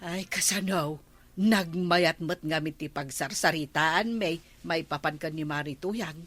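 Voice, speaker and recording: An actress playing a confiding, self-assured village gossip in an Ilocano radio comedy drama, with an Ilocano accent. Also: female voice, deep voice, unhurried, fairly bright tone. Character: gossiping village woman, confiding and sure of herself.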